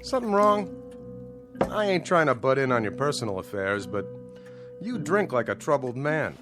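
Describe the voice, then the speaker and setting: An actor playing a nosy, easygoing small-town bartender with a folksy, colloquial manner, in old-time radio drama style. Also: male voice, mid-range voice, big pitch swings, moderate pace, close to an everyday voice. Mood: easygoing, curious. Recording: music behind, vintage radio drama.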